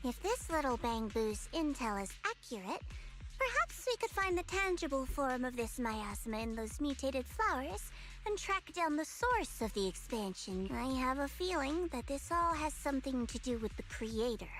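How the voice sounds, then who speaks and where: A woman voicing a self-assured, shrewd young female investigator in a video game, measured and businesslike. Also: high voice, big pitch swings, fairly bright tone, soft background music, rough recording. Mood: thoughtful, businesslike.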